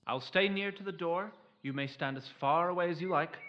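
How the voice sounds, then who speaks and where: A man's voice: fairly high pitch, a little fast, dull audio, close to an everyday voice. An actor playing an insistent, coaxing nobleman with courtly speech in a fantasy audio drama. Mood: placating.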